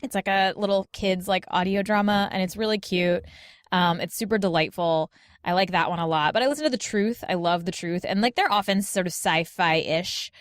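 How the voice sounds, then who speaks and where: A woman's voice: on the low side, normal speed, smooth, no room sound, clean studio sound. A woman in her thirties chatting casually as a podcast guest, acting out little exchanges and often saying "like". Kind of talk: casual panel chat.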